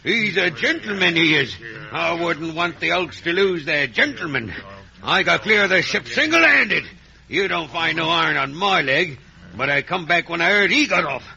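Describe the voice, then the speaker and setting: Gravelly, hard-edged escaped convict. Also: male voice, on the high side, animated, moderate pace, pushed and bright, full character voice. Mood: bitter, scornful. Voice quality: gravelly, rasping. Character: rough, defiant escaped convict with a grudging conscience. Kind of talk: 1950s radio drama acting. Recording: muffled vintage radio broadcast.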